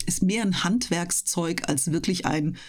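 A woman's voice: fairly low pitch, a little slow. A woman chatting casually and explaining things as a guest in a radio interview.

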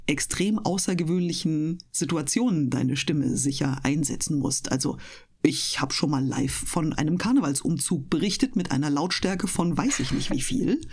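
Husky, lower-voiced woman in casual conversation. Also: normal speed, a little mellow. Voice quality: trained, clearly articulated speech. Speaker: host of an audio channel and interview guest, professional speaker. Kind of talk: casual interview chat.

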